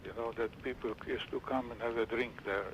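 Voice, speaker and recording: A man in his seventies from Romania, recalling his childhood in an interview and often pausing to search for words. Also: mid-range voice, fairly even intonation, dull audio, slight background noise. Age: 70s. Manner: halting, restarts and searches for words.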